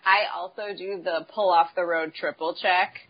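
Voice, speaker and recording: Husky low woman, playful host. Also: steady tone, moderate pace, lo-fi sound. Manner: playful, jokey questions. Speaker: podcast host. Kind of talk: casual chat.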